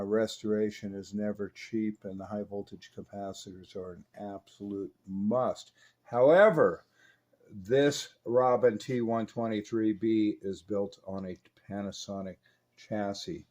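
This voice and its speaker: A man's voice: mid-range voice, darkish tone. A man giving an informal narrated repair demonstration in a conversational, matter-of-fact and opinionated way. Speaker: radio repair hobbyist, video host.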